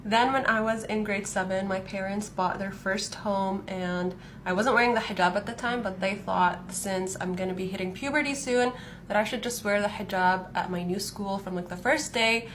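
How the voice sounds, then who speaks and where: A woman's voice: medium pitch, a bit bright, slight background noise. A woman in her twenties, casually telling her life story while doing her makeup, with lots of "like" fillers. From Palestinian, born in Saudi Arabia, raised in Canada. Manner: chatty, frequent "like" fillers. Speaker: vlogger. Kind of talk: casual get-ready-with-me storytelling.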